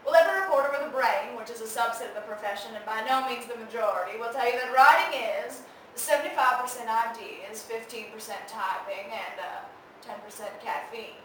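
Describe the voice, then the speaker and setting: A teenage girl playing a sharp-witted, hard-drinking Texan woman newspaper columnist in a theatrical competition monologue, wry and swinging from hushed to loud. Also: a little high, fairly quick, natural voice. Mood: wry, musing. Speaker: competitive speech performer. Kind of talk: dramatic monologue recited for a speech competition. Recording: echoey room, distant mic, faint noise.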